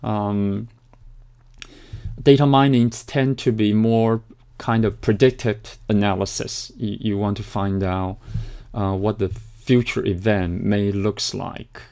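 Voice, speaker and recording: Hesitant lecturing man, smooth low voice. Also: steady tone, takes it slowly, warm tone. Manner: hesitant, many fillers and restarts. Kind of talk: recorded slide lecture.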